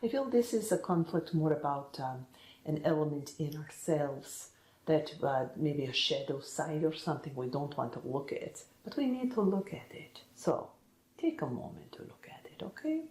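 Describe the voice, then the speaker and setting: A woman giving a soft-spoken ASMR-style tarot reading in a gentle, reassuring, musing manner, often pausing to check in with the listener. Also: deep voice, big pitch swings, roomy sound, low-quality recording. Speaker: tarot reader.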